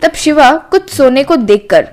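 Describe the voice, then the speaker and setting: A woman's voice: high voice, expressive, measured pace, darkish tone, soft background music. A woman narrating a scripted children's story.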